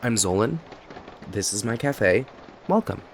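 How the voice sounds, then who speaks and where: Low-voiced, rambling friendly barista played by a man. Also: unhurried. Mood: chatty, upbeat. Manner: rambling, hesitant, apologetic, friendly. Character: talkative, kindly young male cafe owner and barista. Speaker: voice actor in an audio roleplay. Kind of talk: ASMR roleplay, conversational acting to the listener. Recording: steady rain noise behind voice. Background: rain and cafe ambience.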